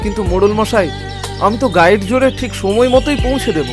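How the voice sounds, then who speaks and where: A man voicing a poor, honest male cart-puller in an animated storybook, pleading and sorrowful. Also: high voice, fast, natural voice. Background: background music.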